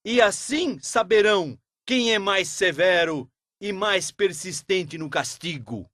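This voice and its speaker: A haughty cartoon Pharaoh. The voice is high and piercingly bright, in a dry recording. He is mocking and menacing, like a ruler used to giving orders. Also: animated, slow pace, no echo, exaggerated voice. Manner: scornful, shouting, threatening. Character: haughty, mocking, tyrannical Pharaoh, adult man. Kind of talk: dubbed cartoon religious story dialogue.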